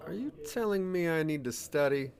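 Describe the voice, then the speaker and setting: Man playing a bantering tech hobbyist, flirtatious, a little awkward, animated intonation, audio drama dialogue. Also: a little high, brisk, pitched above the performer's own voice. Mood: teasing, curious.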